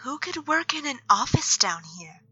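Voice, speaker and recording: A woman playing a quiet, hesitant, perceptive nature mage in an audio drama, speaking softly and haltingly. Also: high voice, big pitch swings, no echo, muffled recording, faint music behind, exaggerated voice. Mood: hushed, wondering. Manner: soft, halting, reserved.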